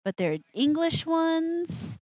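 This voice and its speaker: A woman's voice: extremely high pitch, sing-song, slow pace, darkish tone, no echo, highs cut off. A woman hosting a live show with casual commentary, rambling and interrupting herself with asides.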